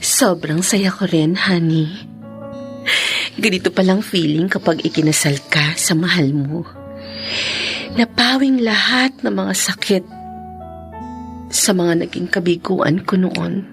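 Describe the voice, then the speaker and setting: A cheerful, grateful woman newly in love in a Tagalog radio drama. She speaks at an unhurried pace with an expressive, exclaiming delivery. Faint music plays behind her. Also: lowish voice. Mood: tender, blissful.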